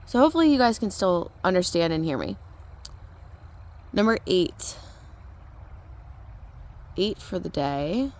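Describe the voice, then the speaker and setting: A young woman with a hoarse, fading voice, chatting casually into her phone while out on a walk, relaxed and rambling as she thinks aloud. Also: on the low side, unhurried, long pauses. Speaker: mindset coach vlogger. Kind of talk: casual vlog chat. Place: outdoors on a walk. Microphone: phone held in hand.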